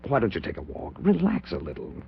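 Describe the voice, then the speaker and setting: An actor in a 1940s radio horror play, playing a sensible, concerned doctor friend who reasons and coaxes. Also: male voice, mid-range voice, huge pitch swings, quick pace, gravelly, faint music behind, exaggerated voice. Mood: coaxing, calm. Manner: reasoning, coaxing, avuncular. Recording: muffled 1940s radio recording with hum.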